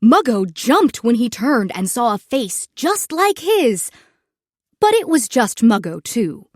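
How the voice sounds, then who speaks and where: Slow, lively woman reading a storybook. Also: a little high, no room sound, clean studio sound. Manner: expressive, measured read-aloud for young children. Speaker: storyteller reading a children's book aloud. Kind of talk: scripted children's storybook narration.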